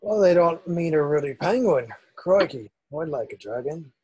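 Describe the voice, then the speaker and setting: Man playing a husky, folksy kindly giant. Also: on the high side, expressive, normal speed, darkish tone. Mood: musing. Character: gruff, kindly giant man guiding a boy wizard. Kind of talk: table read of a film screenplay.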